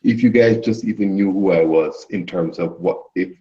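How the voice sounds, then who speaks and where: A man's voice: low voice, normal speed, mellow. A man from Jamaica with a Jamaican accent, chatting casually in a group and often restarting his sentences. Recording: dull lo-fi audio.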